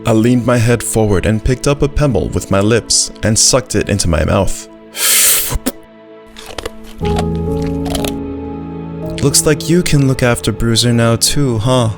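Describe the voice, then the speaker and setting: A man's voice: mid-range voice, animated, normal speed, few pauses, natural voice. A man voicing a brave, loyal little dog who narrates his own story in a simple, earnest way. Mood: tired, content. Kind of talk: first-person scripted story narration. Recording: music behind the voice.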